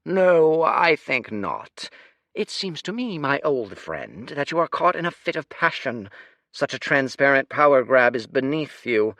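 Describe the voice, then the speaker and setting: A man's voice: fairly high pitch, animated, measured pace, sharp tone, dry recording, pitched above the performer's own voice, exaggerated voice. A man doing a grand, scheming old lord. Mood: cutting. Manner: declamatory, oratorical.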